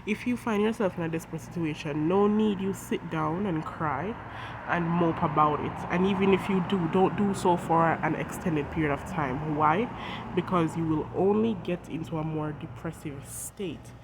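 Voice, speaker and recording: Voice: medium pitch, moderate pace. A host with a head cold, sounding congested, giving an earnest devotional talk that reads scripture and speaks directly to viewers. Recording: noisy outdoor traffic background.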